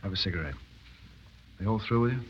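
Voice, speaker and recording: An actor playing a tough, impatient captured army officer, gruff and sardonic, in a 1930s radio drama. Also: male voice, fairly low pitch, lively intonation, fast, muffled recording, slight background noise, natural voice. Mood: stoic.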